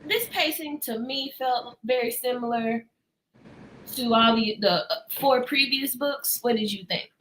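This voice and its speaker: A woman's voice: a little high, measured pace, fairly bright tone, a little hiss or hum, low-quality recording. A woman chatting casually as host of a book discussion, playful and slangy in her reactions. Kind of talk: casual book club chat.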